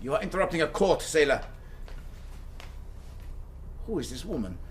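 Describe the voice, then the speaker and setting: An actor playing a coldly polite German naval captain who interrogates a prisoner in a radio drama. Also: male voice, a little high, very animated, normal speed, noisy background. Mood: stern. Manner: clipped, formal, coldly polite. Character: stern, formal German naval captain presiding.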